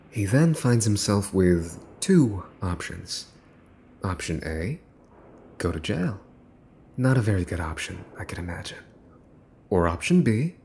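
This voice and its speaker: A man's voice: low voice, a little mellow, a little hiss or hum, full character voice. A man playing a mafia boss's son in an ASMR roleplay, smug, teasing and menacing, with a mocking theatrical drawl. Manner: mocking, theatrical drawl with mock-cute wording. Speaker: voice actor.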